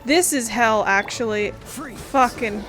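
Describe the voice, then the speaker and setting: Playful, higher-voiced woman doing game commentary. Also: slow pace, fairly bright tone, faint music behind. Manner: playful, sarcastic, swearing. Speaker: gaming video host. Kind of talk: casual let's play commentary.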